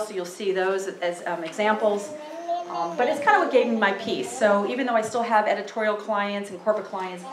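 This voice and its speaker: A loud, chatty woman in her forties talks through a handheld mic in a library meeting room. Her voice rings around the room over the speakers, with a faint hum behind her. She leans on fillers as she goes. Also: medium pitch, roomy sound, low-quality recording. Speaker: photographer giving a talk. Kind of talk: casual public talk. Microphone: handheld microphone through PA.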